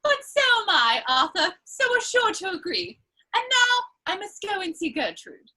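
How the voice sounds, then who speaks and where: Very high, bright young society lady played by an actress. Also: big pitch swings, normal speed, exaggerated voice. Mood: delighted affection. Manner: arch, theatrical, playfully scolding. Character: witty, teasing young society lady, coquettish and imperious. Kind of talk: stage comedy of manners, dramatic reading.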